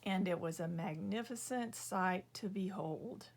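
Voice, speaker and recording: A woman reading her own children's book aloud as an expressive storyteller. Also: mid-range voice, measured pace, creaky.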